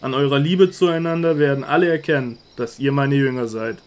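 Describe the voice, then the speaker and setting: A man's voice: medium pitch, a little fast, close to an everyday voice. A man playing a solemn, earnest teacher. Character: calm authoritative male teacher Jesus. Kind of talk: radio drama acting.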